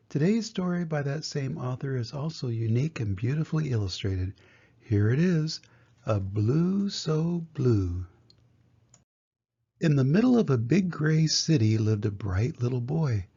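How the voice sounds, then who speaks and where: A man's voice: mid-range voice, warm tone, husky. An older man reading a children's picture book aloud as a grandfather, in storybook narration style. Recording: dull lo-fi home recording.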